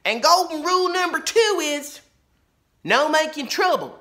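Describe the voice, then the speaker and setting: A man voicing a fearless, bossy ten-year-old girl who appoints herself sheriff, loud and emphatic. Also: very high-pitched, expressive, voice pushed up, full character voice. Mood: commanding. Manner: loud, commanding, slow and emphatic. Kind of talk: storybook read-aloud.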